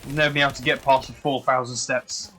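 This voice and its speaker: A young man streaming a game and chatting casually. He is excitable, swears freely and often restarts his sentences. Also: fast talker. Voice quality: fairly high-pitched voice. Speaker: gaming streamer. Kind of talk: casual chat while gaming.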